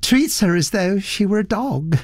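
Man doing a weary theatre producer, high drawl, sardonic murmur, dry close sound. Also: animated, slow pace, a little mellow, dry recording, full character voice. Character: languid melancholy male theatre producer.

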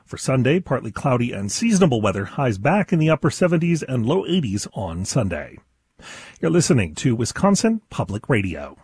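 A man radio announcer reading news teasers and the weather forecast in a measured delivery. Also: mid-range voice, very animated, darkish tone, slightly rough, no echo, studio quality. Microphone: studio mic.

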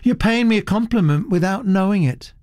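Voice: mid-range voice, animated, fairly quick, darkish tone, no echo, studio quality, pitched above the performer's own voice, natural voice. A narrator voicing a young woman artist who drawls her words with placid indifference. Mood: nonchalant. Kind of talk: audiobook character voice.

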